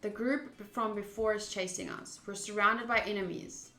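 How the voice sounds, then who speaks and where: Female game streamer. Expressive read-aloud narration, bright crisp-edged tone, roomy echo on the recording. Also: medium pitch, moderate pace, soft background music. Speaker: streamer playing a visual novel. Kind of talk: dramatic read-aloud of game text with casual asides. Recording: roomy, echoing sound.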